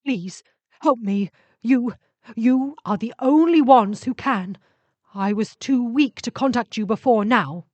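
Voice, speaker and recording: A woman voicing a needy, menacing male sentient spaceship in an audio story, speaking in slow, emphatic, drawn-out phrases. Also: a little high, darkish tone, dry recording, dull audio, pitched above the performer's own voice. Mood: weak, pleading.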